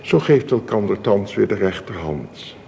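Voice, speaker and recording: In a long, church-like reverb with some hiss, a solemn male minister conducting a wedding in a radio play. He speaks very slowly and ceremoniously, in a mellow voice with a gravelly creak. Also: lowish voice, expressive. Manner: formal, measured, ceremonious.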